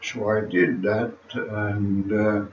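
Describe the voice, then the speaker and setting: Very old Latvian-accented man, halting speech. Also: lowish voice, level tone. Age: 100s. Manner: halting, many pauses and "uh" fillers. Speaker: interviewee. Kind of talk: slow recollection of his life story. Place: small room at home, seated in an armchair. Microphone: camera across the room. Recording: echoey room, distant camera mic, dull sound, faint hiss.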